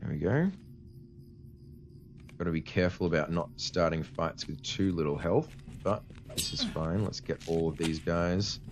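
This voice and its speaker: A man's voice: sits low, a little slow. A man giving casual, explanatory commentary as a let's play host, his voice tired and cutting out slightly from a cold. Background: game music.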